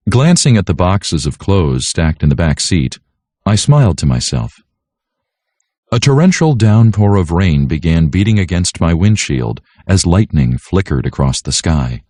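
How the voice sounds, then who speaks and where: A man's voice: deep voice, a little mellow, dry recording, studio quality. A man reading a children's story aloud as a scripted narration. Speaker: audiobook narrator.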